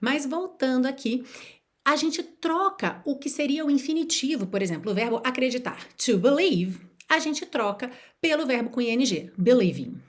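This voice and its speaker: Teacher lady, kinda high voice that swings all over, slows way down to repeat examples. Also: expressive. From Rio de Janeiro, Brazil. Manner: slows right down to model and repeat example phrases; encouraging, speaks straight to the viewer. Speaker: language teacher. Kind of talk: online video lesson.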